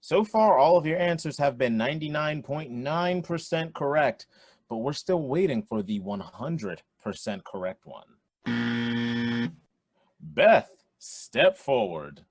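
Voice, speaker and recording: An actor playing a jovial quiz show host in a radio play, with theatrical announcer delivery. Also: male voice, mid-range voice, expressive, takes it slowly, husky, no echo. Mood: showy, upbeat. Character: young man hosting a quiz show, jovial showman. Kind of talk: radio play acting, game show emcee patter.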